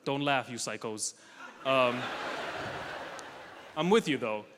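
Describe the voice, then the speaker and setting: A young man from Russia performing a stand-up comedy set, delivering dry, self-mocking punchlines with quick asides. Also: medium pitch, noisy background. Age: young adult. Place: large comedy festival hall with audience. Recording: live hall recording with long reverb.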